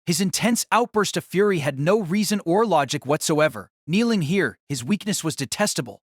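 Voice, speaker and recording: A man's voice: a little high, lively intonation, normal speed, no room sound, clean studio sound. A man reading scripted, dramatic narration of a fantasy story as its narrator.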